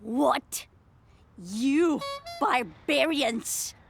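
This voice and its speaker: A woman playing a haughty, easily outraged alien in a comic sci-fi audio play, with theatrical, drawn-out delivery. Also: high-pitched, expressive, creaky, faint music behind, full character voice. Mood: imperious, indignant.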